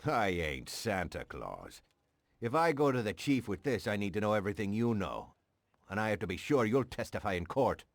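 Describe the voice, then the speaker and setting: Game role: gruff American detective, sceptical and tough, very animated low delivery, close dry recording. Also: male voice, moderate pace, no echo. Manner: blunt, tough, sardonic. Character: gruff, sceptical hard-nosed male police detective. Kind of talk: video game dialogue.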